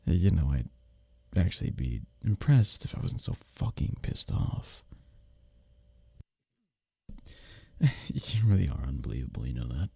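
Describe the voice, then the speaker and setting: A man playing a smug, taunting office rival in an intimate roleplay audio drama, sardonic and teasing, with a husky low voice. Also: very animated, moderate pace, mellow, dry recording, exaggerated voice. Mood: irritated. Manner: sardonic, teasing, needling, with breathy chuckles.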